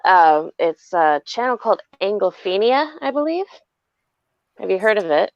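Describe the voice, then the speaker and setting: A woman's voice: medium pitch, big pitch swings, moderate pace, no room sound, lo-fi sound. A woman talking casually on a livestream video call, rambling with fillers. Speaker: guest on livestream.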